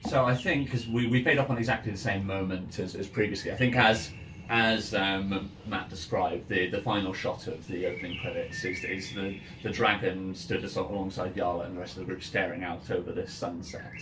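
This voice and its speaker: A man's voice: sits low, steady tone, moderate pace. A man speaking informally as game master, hesitating and repeating words as he casually narrates a tabletop game among friends. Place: indoor room, in-person game session. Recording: roomy, distant mic, noisy background. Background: ambient music and noise.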